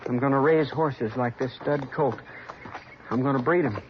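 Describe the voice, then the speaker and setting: A man's voice: mid-range voice, moderate pace, lightly acted. An actor playing a proud, determined American farm boy in an old radio western. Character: proud, stubborn farm boy, determined young horse breeder. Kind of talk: 1950s radio western acting. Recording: muffled old radio recording, faint noise.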